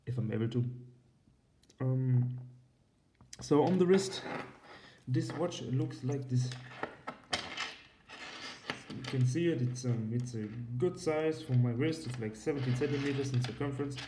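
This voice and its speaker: A man's voice: medium pitch, noisy background. A young man in his twenties with a German accent, hosting a casual watch review vlog, rambling with many ums and casual swearing. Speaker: watch and cigar channel host. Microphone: iPad.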